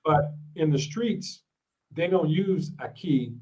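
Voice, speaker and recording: A man's voice: a little high, lively intonation, takes it slowly, a little mellow, poor audio. A man from Ohio hosting a podcast, chatting casually, laughing often and restarting his sentences. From Ohio, United States. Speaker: podcast host. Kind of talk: casual interview chat.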